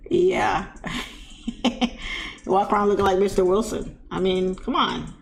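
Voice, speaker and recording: Woman live-streamer with a lisp, gravelly, casual and chatty, faint noise behind. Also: mid-range voice, drawn-out words, pauses often, a bit bright. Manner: chatty, laughs often, talks back to viewers.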